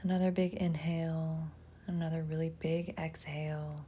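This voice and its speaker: Female meditation guide, soft and mellow, low register, breathy phrase endings, faint rumble behind. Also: flat delivery. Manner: soft, soothing, often trailing into breathiness, with long pauses.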